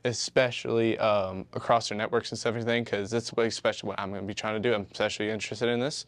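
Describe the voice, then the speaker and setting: Brief: teen boy student, fast, flat delivery, smooth tone, eager. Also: fairly low pitch. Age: teens. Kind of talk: interview soundbite.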